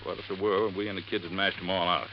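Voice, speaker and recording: An actor playing a folksy, earnest boat-landing owner in 1950s radio drama, telling things in a plain, halting, emphatic way. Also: male voice, deep voice, little pitch movement. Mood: intent, emphatic. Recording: dull, noisy vintage radio recording.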